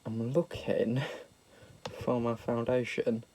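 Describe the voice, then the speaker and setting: A man's voice: medium pitch, flat delivery, slow, warm tone, no echo. Young British man, nasal from a cold, camp and sweary, self-deprecating vlog chat. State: slightly nasal from a sniffle. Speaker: makeup vlogger. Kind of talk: casual makeup tutorial chat.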